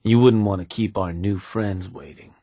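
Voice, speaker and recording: A scheming raider lieutenant in a game, voiced by a man, measured and cool. The voice sits low with a husky grain. It is recorded dry and close, with no room sound. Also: warm tone, no room sound, natural voice. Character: shrewd male raider gang lieutenant, calm. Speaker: game character. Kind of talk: game voice lines.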